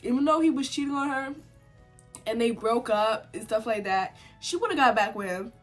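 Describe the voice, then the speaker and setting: A woman's voice: on the high side, moderate pace, faint music behind. Chatty young woman with a congested cold. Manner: chatty and opinionated, many "like" fillers, animated outbursts. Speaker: vlogger reviewing a TV series. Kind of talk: casual sit-down chat to camera. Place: home sit-down setup.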